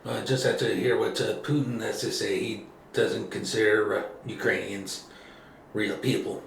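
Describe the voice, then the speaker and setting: A man hosting a casual political podcast conversation, relaxed and agreeable, with lots of filler words. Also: fairly low pitch, normal speed, gravelly. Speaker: podcast host. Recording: echoey room with faint noise.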